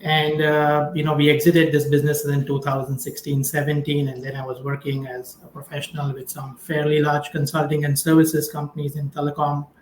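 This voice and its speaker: A man introducing himself as a founder on a video call, polite and measured, with frequent fillers. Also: on the high side, completely flat delivery. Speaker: guest, company founder. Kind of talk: conversational self-introduction. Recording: echoey room, faint background noise.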